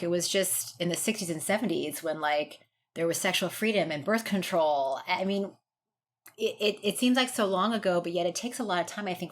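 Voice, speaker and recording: A woman's voice: fairly low pitch, a little hiss or hum. An American woman speaking as a guest doctor in an interview, explaining her views in an informal, conversational way. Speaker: guest doctor, urogynecologist and researcher.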